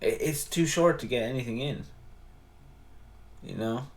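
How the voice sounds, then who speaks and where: A man's voice: medium pitch, measured pace, a little mellow. A man co-hosting a podcast, chatting casually about films, laughing often and filling gaps with "um" and "like". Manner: laughs often, many fillers and restarts. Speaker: podcast co-host. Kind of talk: casual film chat.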